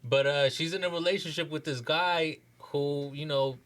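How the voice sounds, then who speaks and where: A man's voice: a little high, steady tone, moderate pace, fairly bright tone. A man chatting casually as a podcast host, with slangy, profane speech. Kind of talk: casual chat.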